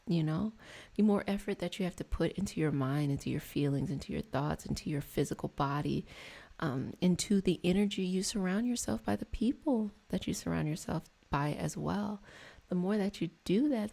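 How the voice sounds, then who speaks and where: A woman hosting an ASMR reiki healing session, talking in a soft, soothing, meandering and conversational way. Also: lowish voice, creaky. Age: adult over 25. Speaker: reiki practitioner and session host. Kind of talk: ASMR guided healing session with casual chat.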